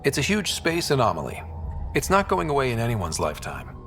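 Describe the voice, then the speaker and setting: Young male explorer lead, sci-fi game. Casual, understated, dry humor, medium pitch. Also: wildly swooping pitch, fairly quick, a bit bright, soft background music. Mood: wry. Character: young male space explorer leader, easygoing, wry. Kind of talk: naturalistic sci-fi game acting.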